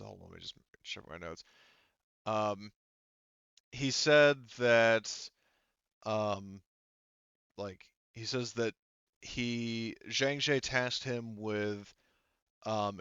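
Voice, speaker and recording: A man running a tabletop roleplaying game, improvising narration in a halting, discursive and emphatic way. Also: fairly low pitch, a bit bright, clear, resonant tone, dry recording, dull audio. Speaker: game master.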